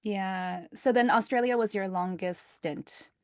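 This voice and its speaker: A woman's voice: mid-range voice, measured pace. Earnest woman hosting a podcast. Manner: thoughtful and earnest, with frequent listening "mm"s. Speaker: podcast host. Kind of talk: scripted intro, then casual interview.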